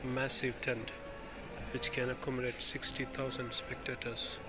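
A man filming in an indoor exhibition hall, reading the display panels aloud slowly, pausing between phrases and restarting when he slips. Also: mid-range voice, little pitch movement. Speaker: video host touring an exhibition. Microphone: handheld phone camera. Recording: loud music in the hall. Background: music playing.

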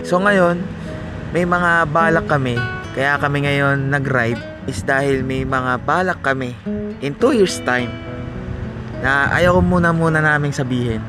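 A man's voice: fairly high pitch, steady tone, measured pace, over music. A young Filipino man vlogging outdoors at night, talking in an earnest, reflective way with plenty of fillers, close to a handheld camera. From Philippines. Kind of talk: casual chat. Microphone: camera held close in selfie framing.

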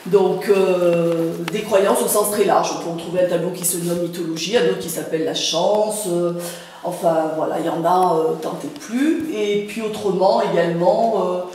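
A woman's voice: on the low side, steady tone, a little mellow. Woman, middle-aged, from French Guiana, hesitant informal presenter, distant echoey recording with faint noise. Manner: hesitant, groping for words with long pauses. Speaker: artist and gallery owner being interviewed. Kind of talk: informal interview, presenting a gallery. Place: indoor art gallery with hard floor.

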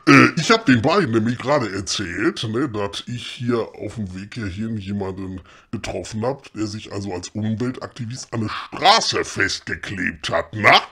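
A man playing a gruff, sarcastic, impatient fellow in a comedy audio drama, husky. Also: fairly low pitch, lively intonation, moderate pace, few pauses, bright, forceful tone, exaggerated voice. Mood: sly. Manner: colloquial, dry, sarcastic, blunt.

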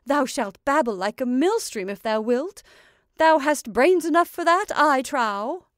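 Woman acting a loud, high-pitched domineering old witch. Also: animated, measured pace, no room sound, studio quality. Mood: scornful coaxing. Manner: emphatic, declamatory, archaic speech. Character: domineering old witch, gleefully scornful and coaxing.

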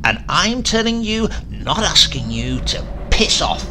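A man's voice: high voice, big pitch swings, a little slow, background noise, voice pushed up. A man voicing a crude, impatient tough man in a storybook, curt and aggressive. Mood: angry.

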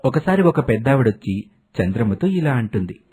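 A man's voice: medium pitch, sing-song, fairly quick, warm tone, breathy edge. A man narrating a scripted moral story as a storyteller.

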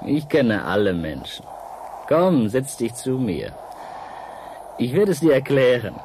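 An actor in a radio play voicing a seductive, mysterious painter from an ancient world, coaxing and dramatic with halting pauses. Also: male voice, medium pitch, big pitch swings, a little mellow, slight background noise.

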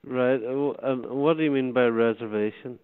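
A man with a Derry accent speaks over a thin phone connection. His voice is clear and smooth, slightly low in range of interest to no one but easy and conversational. He banters along casually as he handles a booking call. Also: steady tone, normal speed. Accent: Northern Irish, Derry. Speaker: venue staff answering a phone booking. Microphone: telephone. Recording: muffled narrow-band phone line.

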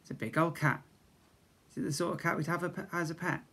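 A man's voice: fairly high pitch, steady tone, fast talker, vocal fry, pushed and bright, slightly rough. A British man in his fifties, a schoolteacher, reading comic rhymes to young children expressively, with chatty asides. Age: 50s. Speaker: infant school teacher. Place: small indoor school office. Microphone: device camera at arm's length.

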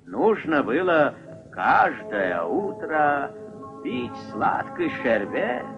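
A man narrating a puppet-film fairy tale as a wry, measured, expressive storyteller. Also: on the high side, gravelly, heavily acted. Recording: dull old film soundtrack, music behind.

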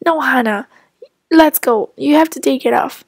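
A woman's voice: sits high, normal speed, slightly rough, no room sound. A woman voicing a mother who firmly scolds her young daughter. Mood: scolding. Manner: firm, scolding.

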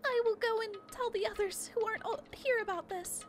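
Super high squeaky sad little game bunny, slow n whimpery, music loud behind. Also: female voice. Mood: timid, pleading. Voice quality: squeaky, thin. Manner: whimpering, halting. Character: timid, weepy, self-blaming little rabbit creature. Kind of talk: video game character read-aloud.

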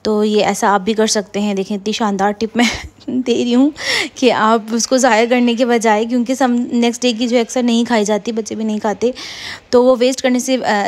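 A woman, casually narrating a home cooking vlog in a rambling way, with frequent fillers and soft laughs. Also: a little high. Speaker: vlogger. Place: home kitchen.

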